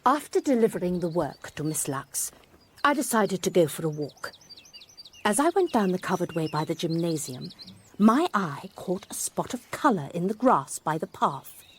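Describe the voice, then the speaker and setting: An actress playing a sharp-eyed, wry former schoolmistress, telling a mystery in a precise, measured way for radio drama. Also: female voice, fairly low pitch, big pitch swings, a little hiss or hum. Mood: wry, reflective. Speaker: narrator and lead character. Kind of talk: first-person dramatised narration, radio drama.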